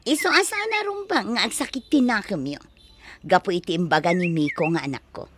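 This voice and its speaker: A woman's voice: mid-range voice, animated, fairly quick, natural voice. A woman playing a long-suffering, plaintive village wife and mother with an Ilocano accent in an audio drama. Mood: aggrieved. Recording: clearly noisy ambient background.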